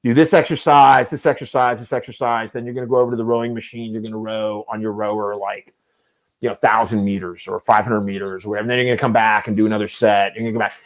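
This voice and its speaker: A man's voice: on the low side, little pitch movement, fast. Rambling self-mocking man in his fifties. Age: 50s. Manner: rambling, self-deprecating storyteller. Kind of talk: casual chat.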